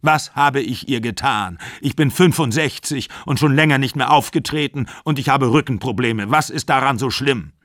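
A man's voice: mid-range voice, expressive, normal speed, no echo, clean studio sound. A man voicing a furious, bitter aging actor of sixty-five who rants and accuses. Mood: accusing. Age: 60s. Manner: ranting, accusing, shouting.

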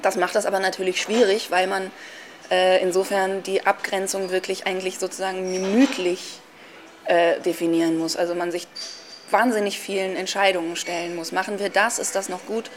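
A woman's voice: medium pitch, flat delivery, moderate pace. A woman being interviewed, casually reflecting and thinking aloud with some hesitation, with faint noise behind her.

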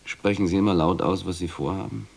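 Radio play role: surly loner, extremely low creaky voice, scornful and dismissive. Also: male voice, fairly quick. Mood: suspicious, curt. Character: curt, surly male loner refusing company. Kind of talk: radio drama acting. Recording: loud background noise with clicks.

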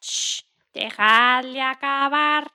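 A woman's voice: high-pitched, drawn-out words, pushed and bright, clean tone, heavily acted. A woman playing an eager, bossy laying hen in a children's audio story. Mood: hushing, confident.

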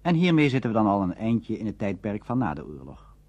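A dull, lo-fi radio recording carries a man narrating a scripted documentary. His voice is husky and his intonation moves widely as he presents. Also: lowish voice, big pitch swings, fairly quick, a little mellow.

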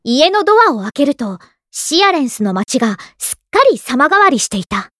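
A woman's voice: high voice, very animated, moderate pace, sharp tone, slight background noise, full character voice. An actress playing a flamboyant, self-admiring ageless elf artist, narrating her own story in a theatrical, feminine anime drama style. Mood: dreamy, delighted.

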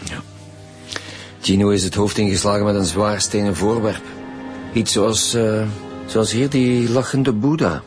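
An actor playing a dry, measured senior detective with a Flemish accent in a radio drama. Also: male voice, lowish voice, steady tone, over music, lightly acted. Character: Romain Van Deun, senior detective lead.

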